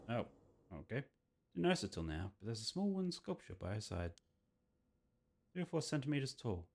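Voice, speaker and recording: A man's voice: on the low side, a little mellow, husky. A man streaming a visual novel, reading the text aloud and adding his own commentary. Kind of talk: game playthrough commentary and dramatic read-aloud. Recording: game music behind the voice. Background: video game music.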